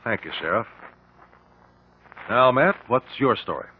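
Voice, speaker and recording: A man acting a calm, courteous investigator who questions precisely and politely in a 1950s radio drama. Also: mid-range voice, a little slow, close to an everyday voice. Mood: calm, pressing. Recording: narrow-band, faintly noisy old radio recording.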